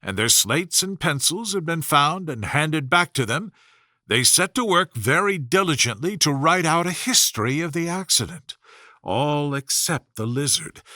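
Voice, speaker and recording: A male audiobook narrator reads a children's bedtime story from a script. His voice sits fairly high and is very bright. The intonation is animated, and the sound is dry and close. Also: no room sound.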